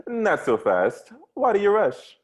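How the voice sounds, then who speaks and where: A man's voice: sits high, huge pitch swings, a little slow, exaggerated voice. A man voicing a very old, menacing mafia henchman with taunting tough-guy talk in an amateur table read. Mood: taunting menace.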